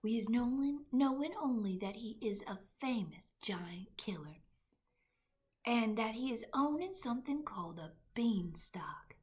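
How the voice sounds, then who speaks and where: A woman voicing a big friendly male giant who whispers in hushed tones with mangled grammar. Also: on the high side, lively intonation, measured pace.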